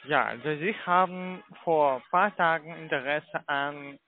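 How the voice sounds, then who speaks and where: Non-native male phone caller, repetitive and halting, narrow phone sound. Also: a little high. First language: not German. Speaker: cold caller. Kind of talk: phone sales call. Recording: tinny phone line.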